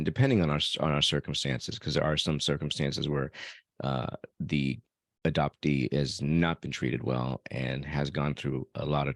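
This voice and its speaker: A man speaking as an interview guest, reflecting on personal matters in a measured way and pausing mid-phrase. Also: extremely low pitch, dry recording, clean studio sound. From born in El Salvador, raised elsewhere by adoptive parents. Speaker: podcast guest.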